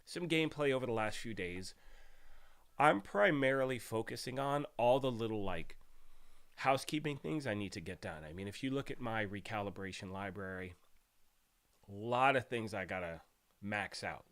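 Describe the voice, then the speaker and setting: A man hosting a gaming live stream, talking casually and greeting viewers by name, a bit raspy while getting over a cold. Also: on the low side, expressive, moderate pace, a bit bright. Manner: chatty, greets viewers by name, rambling restarts.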